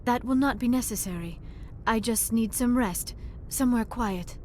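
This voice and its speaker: A woman playing a gentle, earnest alien researcher in a video game, with careful, formal phrasing. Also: a little high, moderate pace, slight background noise, natural voice. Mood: weary. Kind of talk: video game character acting.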